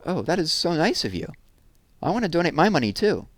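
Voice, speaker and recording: A man's voice: a little high, sing-song, a little fast, no echo, pitched above the performer's own voice. A man voicing a friendly, enthusiastic young girl who is easily impressed, in a children's storybook. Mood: generous.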